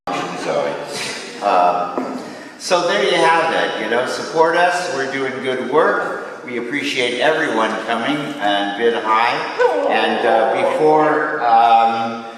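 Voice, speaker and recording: A man's voice: medium pitch, animated, slow, fairly bright tone. A man hosting an event, addressing the gathering informally. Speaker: event host. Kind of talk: informal public address to a crowd. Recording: very echoey hall from far off.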